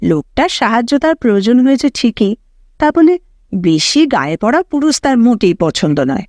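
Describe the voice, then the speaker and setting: A woman reads a literary story aloud with expressive delivery. Her voice sits fairly high and moves through wide, lively melody. The recording is dry, with hardly any room sound. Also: normal speed, no echo. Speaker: audio story narrator.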